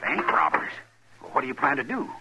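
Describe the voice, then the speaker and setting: An actor playing an excitable, fussy small-town banker in a 1950s western radio drama, easily flustered. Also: male voice, medium pitch, a little fast, heavily acted. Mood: worried. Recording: noisy vintage radio recording.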